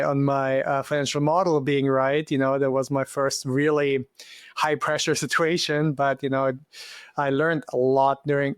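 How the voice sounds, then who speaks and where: A man's voice: on the high side, clean tone, no room sound, studio quality. A man from Germany with a German accent, answering interview questions in a thoughtful, explanatory way with frequent fillers. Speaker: guest, finance educator.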